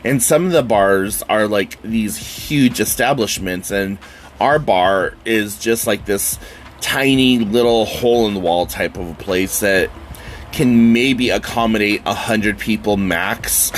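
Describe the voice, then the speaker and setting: A man's voice: lowish voice, takes it slowly, a little hiss or hum. A man hosting his own podcast in a casual, rambling solo chat, sounding nasal and stuffy from a summer cold. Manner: rambling, chatty, with frequent fillers and casual swearing. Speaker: podcast host.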